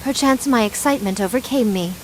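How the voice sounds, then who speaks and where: A woman's voice: a little high, brisk, slight background noise, lightly acted, poor audio. A woman voice-acting a proud, grave swordswoman in a video game, speaking in formal, archaic phrases.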